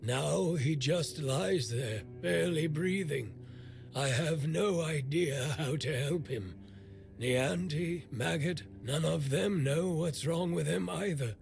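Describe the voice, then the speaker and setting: An actor playing a bitter, blunt, middle-aged blacksmith in a video game, grumbling and weary with worry. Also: male voice, fairly high pitch, slow, gravelly, soft background music. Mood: weary, worried. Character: gruff, bitter male blacksmith, worried for a stricken young man.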